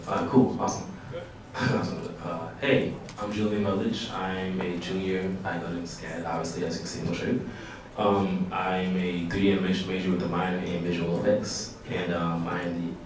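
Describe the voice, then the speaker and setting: A young man introduces himself to a panel audience with offhand jokes. His voice is deep and flat. He sounds distant in a very echoey hall with clear room noise. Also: brisk. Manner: jokey, offhand. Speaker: student panelist. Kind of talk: joking self-introduction.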